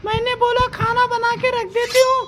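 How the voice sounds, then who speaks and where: A woman playing a sharp-tongued young daughter-in-law who argues back in a comic family sketch. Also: extremely high pitch, little pitch movement, moderate pace. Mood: argumentative. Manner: defiant, argumentative. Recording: loud backing music.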